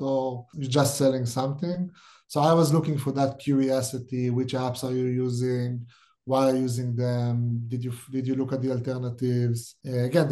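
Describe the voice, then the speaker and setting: A man's voice: mid-range voice, warm tone. A middle-aged man from Israel with an Israeli accent, a startup CEO speaking directly and thinking aloud as a podcast guest. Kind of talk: podcast interview.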